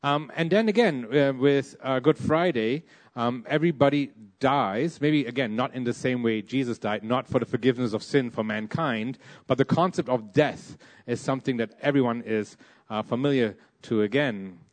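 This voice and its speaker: A man's voice: mid-range voice, normal speed. Hesitant Malaysian man preaching a sermon. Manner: hesitant, many "uh" and "um" fillers.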